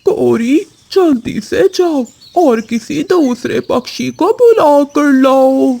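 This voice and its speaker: A woman's voice: high voice, animated, slow, gravelly, warm tone, full character voice. A woman voicing a greedy, whiny male crow in a children's cartoon, with a plaintive manner. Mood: pleading. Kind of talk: children's cartoon story acting.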